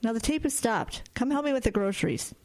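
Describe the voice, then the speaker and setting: An actress playing a brisk suburban mother in her thirties who scolds firmly and jokes dryly. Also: on the high side, lively intonation, a little mellow, slight background noise, natural voice. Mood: stern. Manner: firm, scolding, dryly joking. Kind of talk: audio drama acting.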